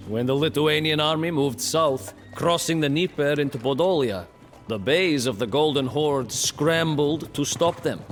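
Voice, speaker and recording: A man playing a grave, wry captive chronicler, narrating history in a measured, storytelling way for a game cutscene. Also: fairly high pitch, lightly acted. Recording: long reverb under music. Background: music.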